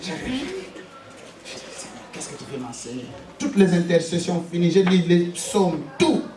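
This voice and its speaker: A man from Congo preaching a fervent sermon and giving personal testimony, punctuating it with repeated hallelujahs, sometimes shouting, sometimes stumbling and repeating words. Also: high-pitched, big pitch swings, measured pace, background noise. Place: church. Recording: roomy church hall, mic at a distance, congregation audible. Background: congregation responding.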